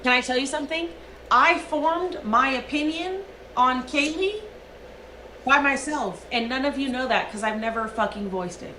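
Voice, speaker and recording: A woman's voice: high-pitched, moderate pace, pushed and bright, reverberant, slight background noise, poor audio. A woman livestreamer delivering a profane, emphatic rant.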